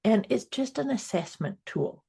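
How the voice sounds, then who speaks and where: Soft-spoken woman podcast host. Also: fairly high pitch, lively intonation, a little slow, gravelly, breathy edge. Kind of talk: casual chat.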